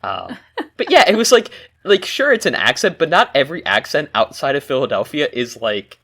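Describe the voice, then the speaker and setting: A man co-hosting a casual movie podcast, telling stories in an animated way and laughing often. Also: on the high side, moderate pace. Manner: animated storyteller, giggly. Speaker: podcast co-host. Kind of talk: casual film podcast chat.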